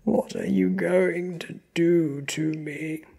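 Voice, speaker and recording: A performer voicing a frightened, injured henchman who stammers and speaks in halting, strained bursts. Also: medium pitch, big pitch swings, vocal fry, breathy edge, exaggerated voice. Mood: pleading.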